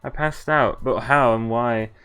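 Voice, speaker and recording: A man's voice: mid-range voice, unhurried, sharp tone, clear, resonant tone. A man streaming a visual novel, reading the game text aloud with casual, profane, laughing commentary. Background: faint game music.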